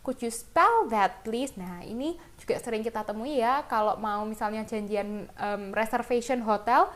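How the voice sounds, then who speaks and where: A woman's voice: on the high side, normal speed, fairly bright tone. A woman with an Indonesian accent teaching an English lesson informally, explaining example phrases and talking directly to students.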